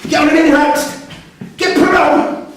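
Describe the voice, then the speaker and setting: Male actor for violent, grieving convict: foul-mouthed, accusatory, slow delivery, very roomy live stage sound. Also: very high-pitched, steady tone, a bit bright. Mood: enraged. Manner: coarse, sweary, accusing. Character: menacing male prisoner, grieving father turning violent. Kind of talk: staged reading of a prison drama. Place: theatre room at a live staged reading. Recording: distant, very echoey hall, faint noise.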